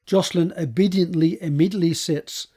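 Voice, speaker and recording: A man's voice: a little high, steady tone, brisk, dark tone, breathy edge. A man reading scripted stage directions as the narrator of an audio drama.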